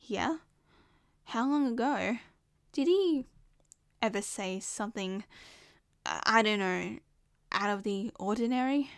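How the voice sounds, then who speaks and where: A woman's voice: fairly high pitch, lively intonation, takes it slowly, creaky, long pauses, clear, resonant tone, no echo, studio quality, full character voice. A woman with an Australian accent playing a possessive, scheming young woman in an ASMR roleplay, sweet and coaxing. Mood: suspicious.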